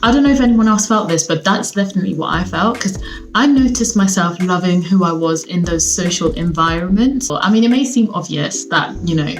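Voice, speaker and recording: A young woman from Sweden talks straight to her viewers in a relaxed, upbeat home vlog. Her voice sits a little low for a woman. She chats in a loose, rambling way with plenty of fillers, and faint music plays underneath. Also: brisk, low-quality recording. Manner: chatty, upbeat, lots of fillers. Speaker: vlogger. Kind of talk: casual chat to camera. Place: at home, seated.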